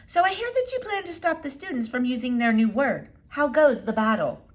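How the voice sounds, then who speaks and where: A woman's voice: sits high, very animated, brisk, pitched above the performer's own voice. A woman voicing a direct, inquisitive female newspaper reporter in a children's storybook read-aloud, polite and probing.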